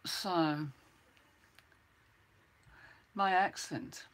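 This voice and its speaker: An older woman from Birmingham with an English accent softened by years in New Zealand, chatting casually to her viewers and reminiscing. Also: fairly low pitch, steady tone, very slow. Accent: English with some New Zealand mixed in. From England (Birmingham). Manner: chatty, reminiscing, repeats herself. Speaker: livestream host.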